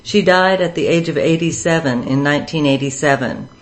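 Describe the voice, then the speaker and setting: A woman's voice: deep voice, a little fast. A woman host reading a scripted introduction for a radio program.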